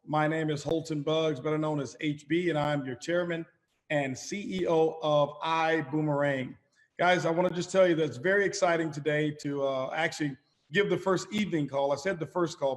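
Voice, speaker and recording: A middle-aged man with a somewhat high, slightly raspy voice delivers a motivational business pitch over a phone call. He speaks emphatically, repeats himself for effect, and often turns to the listeners as ladies and gentlemen. A long reverb trails his words. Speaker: company chairman hosting an opportunity call. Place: calling in by phone, not a studio.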